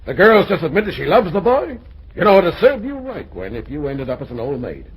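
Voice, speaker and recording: Blustering radio-play father, middle-aged, sarcastic and husky, very animated delivery. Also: fairly high pitch, fairly quick, full character voice, rough recording. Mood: exasperated. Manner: blustery, theatrical, dryly sarcastic. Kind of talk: old-time radio comedy acting.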